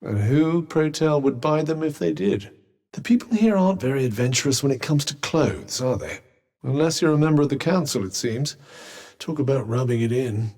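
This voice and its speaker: Game companion, sentient magic cuff: British, pompous and articulate, dry sarcasm, highly animated pitch. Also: male voice, moderate pace. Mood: dry, sarcastic. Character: sardonic, haughty talking magical cuff, erudite male companion. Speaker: voice actor. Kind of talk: video game companion dialogue.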